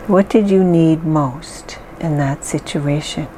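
A woman leading a guided mindfulness exercise, speaking calmly and softly with long pauses. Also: low-pitched, a little hiss or hum, poor audio. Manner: slow, calm, soft-spoken guidance with pauses. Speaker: therapist guiding an exercise.

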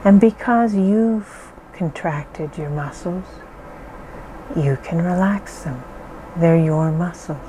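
A woman slowly leading a calming guided relaxation, reassuring and gentle with deliberate pauses. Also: lowish voice, slight background noise, rough recording. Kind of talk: slow calming guided meditation.

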